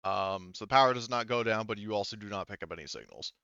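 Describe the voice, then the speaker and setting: A man narrating a tabletop roleplaying game casually as game master, improvising in a halting, rambling way and rephrasing as he goes. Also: on the low side, vocal fry, sharp tone, clean tone, dry recording, highs cut off. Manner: halting, rambling, often rephrasing mid-sentence.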